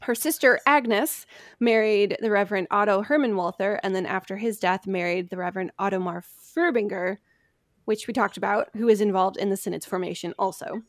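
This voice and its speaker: Woman, mid pitch, dry recording, casual storyteller, chatty self-deprecating asides, podcast host. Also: a little fast, no echo. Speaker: podcast host presenting history research. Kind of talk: casual storytelling from notes among friends.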